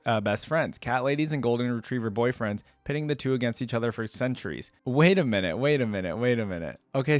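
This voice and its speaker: A man's voice: medium pitch, no echo. A man hosting a reaction video, talking informally and thinking aloud as he gives his commentary. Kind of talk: casual reaction commentary, reading aloud at times.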